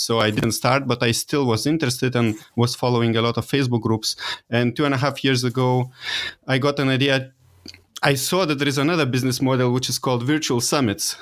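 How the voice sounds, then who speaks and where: A man's voice: mid-range voice, fairly even intonation, fairly quick, a bit bright. A man from Lithuania speaking English as a second language with a Lithuanian accent, a guest telling his story in a casual interview, hesitant with many fillers and restarts. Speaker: guest, virtual summit organizer.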